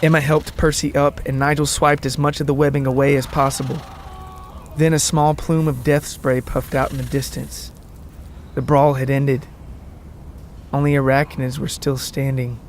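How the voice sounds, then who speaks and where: A man's voice: mid-range voice, little pitch movement, normal speed, slight background noise. A man reading scripted fiction as the narrator of an audio story.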